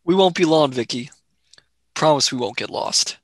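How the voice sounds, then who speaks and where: A man's voice: a little high, lively intonation, normal speed, no room sound. A man playing a young, sardonic space-travel companion in a radio play, questioning with urgent, dry impatience. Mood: reassuring.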